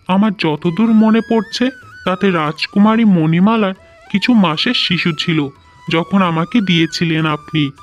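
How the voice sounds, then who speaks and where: Man playing a troubled high-voiced cartoon king. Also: dull audio. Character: troubled fatherly fairy-tale king. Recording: music behind the voice.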